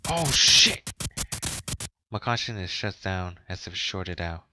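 A man's voice: deep voice, big pitch swings, extremely slow. A man streaming a game, reading its text aloud and reacting casually. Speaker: streamer playing a visual novel. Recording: game music behind the voice. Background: game music.

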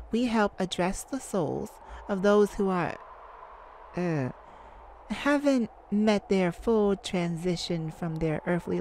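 Slow-talking, polite celestial caseworker played by a woman. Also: on the low side, warm tone, a little hiss or hum. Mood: welcoming, apologetic. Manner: courteous, apologetic, hesitant. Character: polite, flustered, thousands-year-old celestial afterlife caseworker. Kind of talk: ASMR roleplay storytelling.

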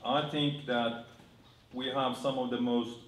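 A man's voice: mid-range voice, level tone, measured pace. A man giving his opinion as a panelist in a discussion. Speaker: business panelist. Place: large indoor auditorium. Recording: echoey hall, distant mic.

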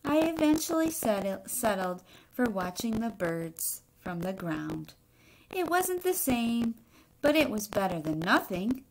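Middle-aged woman, children's read-aloud, unhurried pace, mid pitch, home webcam sound with noise and clicks. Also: very animated, low-quality recording. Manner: deliberate, with pauses between short phrases. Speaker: teacher reading a picture book aloud. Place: indoor room in a home.

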